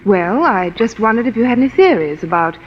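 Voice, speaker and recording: An actress playing a guarded, sharp-tongued young woman in a 1940s radio drama, speaking in a clipped, evasive way. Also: on the high side, very animated, brisk. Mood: probing. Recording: echoey, muffled vintage radio, faint noise.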